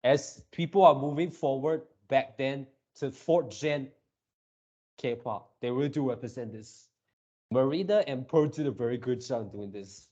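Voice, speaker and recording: A man hosting a reaction video with casual, off-the-cuff commentary, speaking haltingly and repeating his words and phrases. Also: medium pitch, darkish tone, muffled recording.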